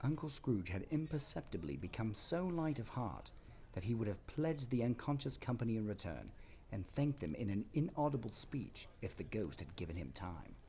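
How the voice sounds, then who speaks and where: A man narrating a classic tale from a script in a measured, theatrical storytelling way. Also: lowish voice, big pitch swings, dark tone, slight background noise.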